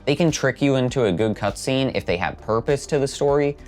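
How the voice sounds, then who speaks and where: A man's voice: mid-range voice, lively intonation, a little fast, darkish tone. A man hosting a video essay, reading scripted narration. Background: background music.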